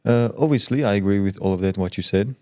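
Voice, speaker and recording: A man's voice: lowish voice, fast talker, mellow, no echo, clean studio sound. A man conducting a casual interview, wordy and hedging, rephrasing his questions as he goes. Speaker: interviewer, podcast co-host.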